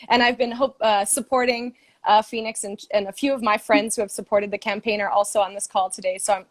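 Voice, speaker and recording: A woman with an American accent, a Brazilian American guest speaking earnestly on a video call, often pausing with ums and soft laughs. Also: mid-range voice, no room sound. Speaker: guest supporter. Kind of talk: casual video call conversation. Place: home, stayed in the US.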